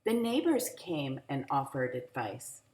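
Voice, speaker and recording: A woman reading a picture book aloud to young children in an animated, teacherly way, adding little asides. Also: very deep voice. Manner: animated, teacherly, drawn-out phrasing. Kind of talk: expressive read-aloud with asides to young listeners. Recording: roomy home recording with echo.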